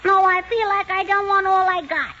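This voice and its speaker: A woman's voice: very high voice, steady tone, normal speed, sharp tone. An actress playing a mischievous, cheeky little girl in 1940s radio comedy, childish and full of contrary back-talk. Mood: whiny, stubborn. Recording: muffled, noisy 1940s radio recording.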